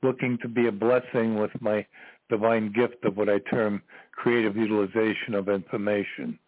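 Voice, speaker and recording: An older man in his seventies calling in to a radio show, spelling things out slowly in an earnest, grandiose way. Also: on the low side, level tone, no room sound. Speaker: caller. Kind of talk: call-in radio talk. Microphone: telephone. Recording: tinny phone line.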